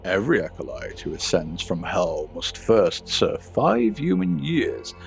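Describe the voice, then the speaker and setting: A man's voice: low voice, very animated, a little slow, creaky, soft background music, full character voice. A man voicing a dogmatic brother of a shipboard religious order in a game, formal and ceremonious. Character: dogmatic male brother guarding a ship's middle deck.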